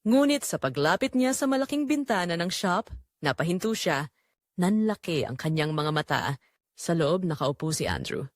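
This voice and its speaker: A woman with a Filipino accent narrates a scripted story dramatically, in measured, suspenseful short phrases. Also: lowish voice, lively intonation, dry recording, clean studio sound.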